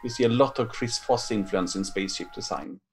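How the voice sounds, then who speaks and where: A man from Sweden speaks as a guest on a podcast about his game. His voice sits fairly low, and he pauses often with hesitant fillers. The talk is relaxed and friendly. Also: breathy edge, faint music behind. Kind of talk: casual podcast interview.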